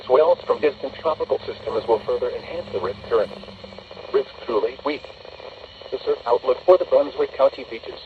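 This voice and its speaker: A synthetic American man's voice reading a measured, flat weather bulletin through a small radio speaker. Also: mid-range voice. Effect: heard through a small radio speaker. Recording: dull, distant small radio speaker, noisy.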